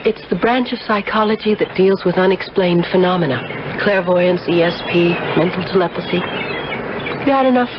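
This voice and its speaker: Actress as arch, sardonic temptress, muffled radio. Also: female voice, on the low side, normal speed, close to an everyday voice. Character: worldly, sardonic married American woman, seductive and guarded. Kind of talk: 1970s radio drama acting. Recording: dull, noisy 1970s radio broadcast.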